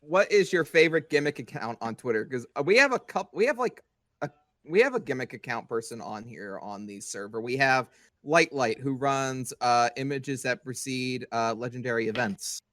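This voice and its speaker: A man's voice: medium pitch, moderate pace, a bit bright, no echo, studio quality. A man hosting a podcast, putting questions to a guest in casual chat. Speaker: podcast host asking questions.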